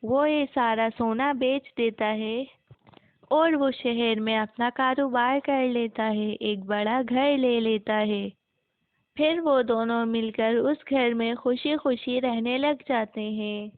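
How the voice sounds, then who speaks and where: A woman's voice: on the high side, slow pace, dry recording. A woman narrating a scripted children's moral story as the storyteller. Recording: muffled, narrow phone-like sound.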